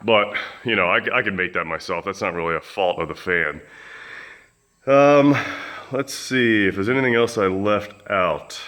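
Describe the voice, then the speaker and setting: A man with a loud voice, casually reviewing a fan in his home gym, rambling with lots of fillers and restarts. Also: on the low side, normal speed. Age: adult with children. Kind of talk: casual product review vlog.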